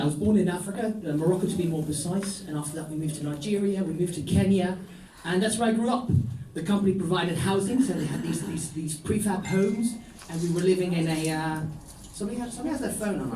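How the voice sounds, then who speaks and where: Wry high-voiced comedian, echoey club. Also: male voice, a little hiss or hum. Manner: dry, ironic storytelling with deadpan asides. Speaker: stand-up comedian. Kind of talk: stand-up set. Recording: echoey room, voice from a distance.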